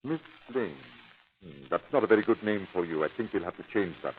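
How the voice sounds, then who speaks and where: A thin, tinny 1930s radio recording holds a male criminal hypnotist. His voice is on the low side. He is domineering and condescending, every line meant to menace and control. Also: lively intonation, fairly quick. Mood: controlled menace. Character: smooth-talking, domineering criminal hypnotist, megalomaniac man. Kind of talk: 1930s radio villain acting.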